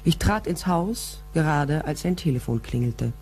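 A woman's voice: sits low, expressive, measured pace, a little mellow, breathy edge, exaggerated voice. An actress playing a tough female private detective, narrating a radio crime drama in terse, matter-of-fact sentences. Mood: tense, matter-of-fact. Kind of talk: first-person radio play narration.

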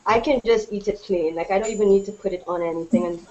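A woman's voice: medium pitch, quick pace, darkish tone, dull audio. A woman in her thirties hosting a live cooking show from her home kitchen, narrating each step as she plates food and chatting on a video call. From Trinidad and Tobago. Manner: chatty, laughing, narrating her own steps. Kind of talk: live cooking demonstration chat. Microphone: webcam on a video call. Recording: noisy webcam audio.

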